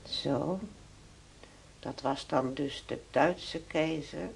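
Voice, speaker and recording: A curious, plain-spoken woman in a radio play. Her voice is extremely creaky and she replies briefly and slowly, with clear rumbling noise behind her. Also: fairly even intonation, exaggerated voice. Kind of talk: radio drama dialogue.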